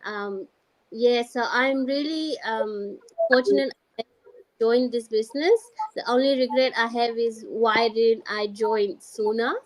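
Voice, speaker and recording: A woman from Fiji, giving a personal testimonial as a guest on an online show, earnest and halting. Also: fairly high pitch, dry recording, low-quality recording.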